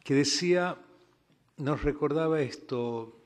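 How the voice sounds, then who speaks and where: Argentine man, ambassador's floor remarks, unhurried and reflective, roomy hall sound. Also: a little high. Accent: Argentine Spanish. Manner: measured and reflective, with frequent pauses and fillers. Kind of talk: formal conference remarks. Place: large conference hall. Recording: reverberant conference hall.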